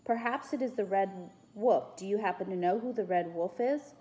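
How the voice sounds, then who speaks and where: A woman's voice: medium pitch, normal speed, dark tone, natural voice. A woman voicing young Roman schoolboys, anxious but defiant, in a storybook reading. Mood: earnest, bold.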